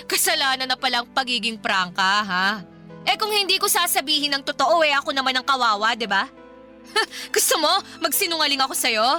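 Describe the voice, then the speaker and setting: An actress playing a blunt, snobbish young girlfriend with a bratty, sharp-tongued manner in a Filipino radio drama. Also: high-pitched, animated, normal speed, soft background music. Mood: defiant, scornful.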